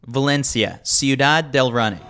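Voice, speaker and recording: A man's voice: mid-range voice, normal speed, bright, forceful tone, a little hiss or hum. A man reading a scripted sports newsletter as a podcast host, with a wry, tongue-in-cheek manner.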